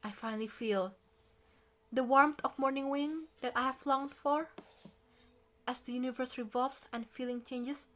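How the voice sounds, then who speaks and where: A woman reading poetry aloud in an expressive recitation style. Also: high voice, a little slow, a little hiss or hum. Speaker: poetry reader.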